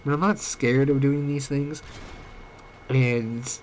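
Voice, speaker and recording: A man's voice: medium pitch, steady tone, a little mellow, slight background noise. Sick young man rambling late at night. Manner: rambling, halting, many fillers. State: sick, voice cracking. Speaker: vlogger. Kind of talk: late-night personal talk. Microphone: home microphone, uneven volume.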